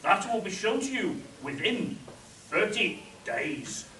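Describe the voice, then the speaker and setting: Man playing a high-pitched, pompous Mayan king, echoey. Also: very animated, takes it slowly, bright, forceful tone, exaggerated voice. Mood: solemn. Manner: grandly formal, declamatory. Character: pompous dignified Mayan king in a spoof adventure. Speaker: amateur actor in a live radio play. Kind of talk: spoof radio adventure acting. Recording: echoey live hall, distant mic.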